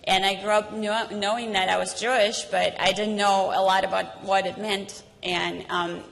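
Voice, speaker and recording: A woman of about thirty with a Ukrainian accent gives a personal speech as a guest at a dinner event, earnest and halting, repeating words as she goes. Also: medium pitch, flat delivery, vocal fry, fairly bright tone. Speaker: guest speaker, school alumna. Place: large indoor event hall. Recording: noisy, reverberant hall recording.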